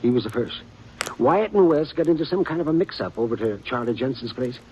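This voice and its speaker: An actor in a 1950s radio western playing a chatty, gossipy old printer with a folksy drawl. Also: male voice, mid-range voice, expressive, fairly quick, mellow, lo-fi sound, slight background noise, natural voice. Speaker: small-town newspaper printer. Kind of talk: 1950s radio drama acting.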